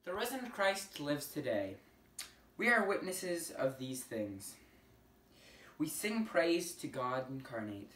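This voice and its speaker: A speaker reading a formal land acknowledgement in measured phrases. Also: mid-range voice, very animated, a bit bright. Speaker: liturgy reader. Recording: echoey room from a distance.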